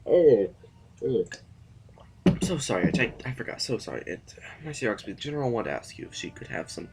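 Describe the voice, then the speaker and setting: A man's voice: mid-range voice, big pitch swings, brisk, a little mellow, slight background noise, rough recording. A man playing an affable, hesitant sovereign who rambles politely in a science-fiction audio drama. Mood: eager, flustered. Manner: chatty, hesitant, eager to smooth things over. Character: affable, rambling male sovereign of a space fiefdom.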